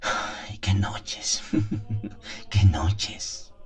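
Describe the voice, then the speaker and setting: A man acts out an elderly country priest in a radio drama, his voice very low. He is haunted and wistful, confiding his story deliberately, with weighty pauses. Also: faint music behind. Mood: impassioned, wistful. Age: 70s as played. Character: seventy-year-old country priest, haunted, confessional, wistful. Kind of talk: dramatised first-person radio drama monologue.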